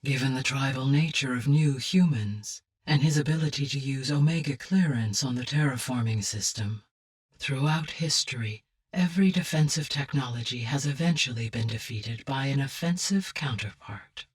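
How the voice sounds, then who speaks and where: A female artificial intelligence from a video game, calm and caring. The voice is low for a woman and husky, slightly set back from the mic. Each sentence is formal, precise and evenly measured. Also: recorded from a distance. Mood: measured, explanatory. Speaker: game character, AI adviser. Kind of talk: scripted video game dialogue.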